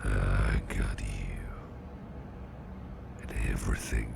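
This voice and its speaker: A man playing a gentle, protective boss in an ASMR roleplay, speaking in a soft, breathy, soothing near-whisper with an unhurried manner. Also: very deep voice, little pitch movement, very noisy, heavily acted. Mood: soothing, tender. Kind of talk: ASMR roleplay, close personal attention.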